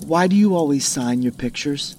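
A man's voice: on the high side, expressive, normal speed, a little mellow, slight background noise, close to an everyday voice. A man playing a wry, gloomy companion who gives cautions in an audio drama, drawling and sardonic. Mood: dry, resigned.

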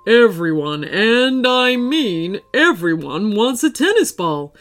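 A woman's voice: fairly high pitch, big pitch swings, slow pace, a bit bright, faint music behind, full character voice. A woman voicing an odd, gossipy townsperson in a storybook reading, with an altered, unusual timbre and a confiding, dramatic way of talking.

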